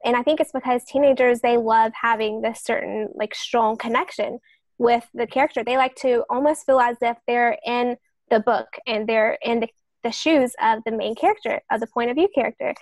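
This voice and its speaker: A woman with a fairly high voice gives casual explanations as a podcast co-host. Her delivery is enthusiastic but halting, in a very dry, echo-free recording. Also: fairly even intonation.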